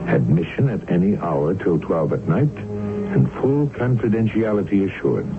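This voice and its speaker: An actor playing a rational, inquisitive doctor in an old-time radio drama, speaking and narrating in a formal, measured, authoritative way. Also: male voice, low-pitched, animated, gravelly, music in the background. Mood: intrigued, narrating. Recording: muffled vintage radio recording.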